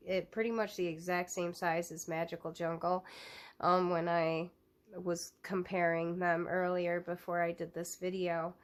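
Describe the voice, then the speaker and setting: A young woman with a stuffed-up cold, chatting casually through a coloring book flip-through with many ums and restarts. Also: lowish voice. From United States.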